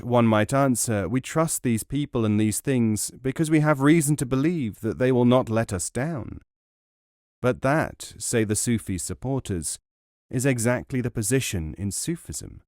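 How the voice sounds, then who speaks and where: A man reading an essay as a scripted audiobook narration, in a measured, formal way. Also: medium pitch, darkish tone, no room sound, clean studio sound.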